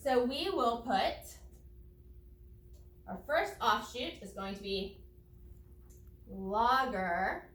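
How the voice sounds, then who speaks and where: A woman teacher reading aloud to children and explaining each step in a deliberate, instructive way. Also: a little high, fairly bright tone. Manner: deliberate, explanatory, spelling out steps for young pupils. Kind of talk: children's read-aloud lesson with think-aloud commentary. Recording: roomy echo, distant from microphone.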